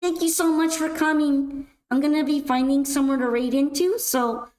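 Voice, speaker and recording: A young woman streamer chatting casually while gaming, her voice a little raspy and worn out. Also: sits high, steady tone, moderate pace, darkish tone, reverberant. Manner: chatty, rambling, upbeat sign-off. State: slightly raspy, tired voice. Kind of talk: casual gameplay stream chat.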